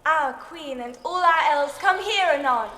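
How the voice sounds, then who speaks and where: Woman as high-voiced wandering fairy spirit. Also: expressive, a little slow, bright, forceful tone, in a large hall, full character voice. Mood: airy, proud. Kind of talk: lyrical Shakespearean verse acting.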